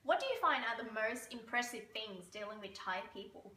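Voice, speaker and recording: A woman's voice: a little high, sing-song, brisk, roomy sound, over loud music. A woman interviewing a guest, asking questions casually with "like" fillers.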